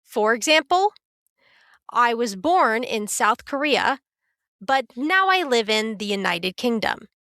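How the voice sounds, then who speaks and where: A woman teaching a beginner English lesson in a careful, clear, scripted way. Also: a little high, big pitch swings, slow pace, bright, forceful tone, no echo, studio quality. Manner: clear, careful, instructive delivery.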